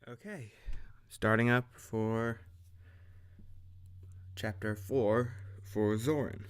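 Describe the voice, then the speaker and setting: A man's voice: on the low side, very slow, smooth. A man talking casually to himself as a voice actor between recording takes. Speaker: audio drama producer and voice actor.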